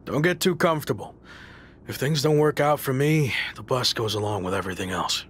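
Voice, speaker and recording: A man's voice: medium pitch, a little fast, a bit bright, a little hiss or hum, lightly acted. An actor playing a determined, confident male pro wrestler and faction leader in a video game cutscene. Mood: wry warning. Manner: confident, defiant.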